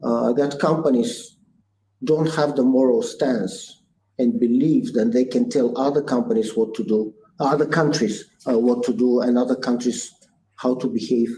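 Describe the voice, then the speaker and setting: A Bulgarian-accented man in his sixties speaks earnestly and thoughtfully, pausing often on "uh" and restarting his phrases. His voice is mellow and dark at a middle pitch. It sounds like a roomy, echoey space with a faint hiss behind him. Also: fairly even intonation. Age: 60s. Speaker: guest entrepreneur. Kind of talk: conversational interview answers. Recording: echoey room with faint noise.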